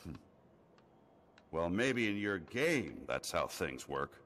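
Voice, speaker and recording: A proud, square-jawed toy space ranger for an animated game. He is skeptical and declarative, deep and deliberate, with dramatic swings of pitch. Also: heavily acted. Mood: scornful. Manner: firm, declarative, dismissive. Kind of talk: animated video game dialogue. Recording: clear background noise and ambience.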